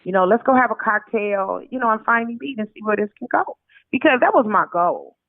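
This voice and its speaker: An American woman comes through a narrow, phone-like recording with a dry sound. Her voice sits at a middle pitch as she tells stories in a casual, colloquial way, re-enacting bits of dialogue as an interview guest. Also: fairly quick, no echo. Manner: animated retelling with quoted dialogue, colloquial. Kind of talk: casual conversational storytelling. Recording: tinny narrow phone line.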